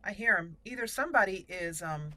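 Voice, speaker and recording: A woman hosting a let's play, chatting casually about her gameplay and reacting excitedly to the action. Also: mid-range voice, fairly quick, very noisy. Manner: chatty, excitable reactions, talks to party members.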